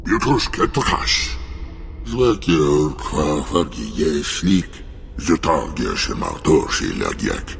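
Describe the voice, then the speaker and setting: A gravelly, guttural alien creature from a video game. It mutters slowly in a made-up language at a very low pitch, soaked in cavernous reverb with noise behind it. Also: male voice, big pitch swings, a bit bright, heavily acted. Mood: grumbling, agitated. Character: male alien cave creature, exiled, hungry, speaking alien gibberish. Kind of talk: video game character acting in an invented alien tongue.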